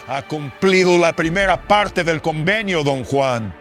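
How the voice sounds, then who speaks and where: High-pitched, greedy, melodramatic nobleman. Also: a bit bright, full character voice. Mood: smug, mocking. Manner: theatrical, melodramatic. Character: greedy, lecherous nobleman moneylender, arrogant but cowardly. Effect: pitch-shifting voice filter. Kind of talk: horror radio drama acting.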